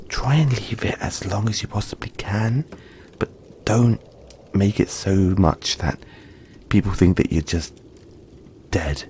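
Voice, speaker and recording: A man voicing a gentle, cuddly young yeti in a soft-spoken, hesitant, soothing roleplay addressed to the listener. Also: on the low side, slight background noise.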